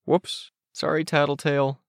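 A man voicing an excitable, defensive young boy with a complaining, exclaiming manner in an audiobook story. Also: fairly high pitch, moderate pace, vocal fry, dry recording, studio quality, pitched above the performer's own voice. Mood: sheepish.

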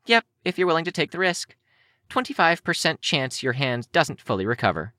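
A man's voice: on the high side, big pitch swings, a little fast, dry recording, clean studio sound, voice pushed up. Man putting on a cheery, swooping young girl prodigy. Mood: eager, clinical. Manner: bright, bouncy, gleefully curious.

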